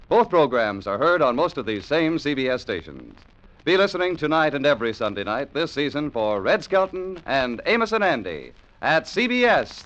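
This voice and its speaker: Brief: male 1940s network announcer, formal and smooth, lively pitch, muffled old broadcast. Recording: dull lo-fi 1940s radio recording.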